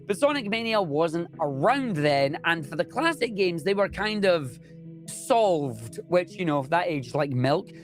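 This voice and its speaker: Chatty Scottish man, slightly high voice. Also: big pitch swings, unhurried, faint music behind. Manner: enthusiastic, chatty, joking. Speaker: speedrunner guest. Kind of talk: casual interview chat.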